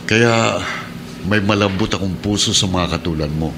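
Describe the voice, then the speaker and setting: A man's voice: deep voice, normal speed, background noise. An actor playing a kind, protective middle-aged Filipino policeman and adoptive father in a radio drama. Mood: earnest, encouraging. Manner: earnest, encouraging, heated when challenged.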